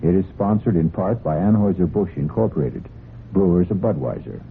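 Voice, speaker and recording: A man hosting a radio mystery anthology, narrating a scripted story in a deliberate, theatrical old-radio storyteller's manner. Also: low voice, a little hiss or hum. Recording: narrow old radio recording with music.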